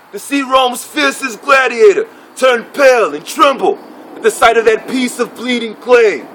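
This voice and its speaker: A man performing a theatrical monologue as a proud, bitter gladiator chief, in formal declamatory speech with deliberate pauses. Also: sits high, a bit bright, poor audio. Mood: bitter, anguished.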